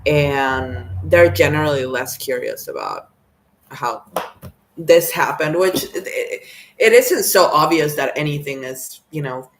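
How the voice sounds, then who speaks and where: Young woman from mexico, spanish her first language, low voice, rambly and a bit self-conscious, talking to camera. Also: animated, unhurried, a little hiss or hum. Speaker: vlogger. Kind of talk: casual self-introduction to camera. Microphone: camera mic, talking to camera.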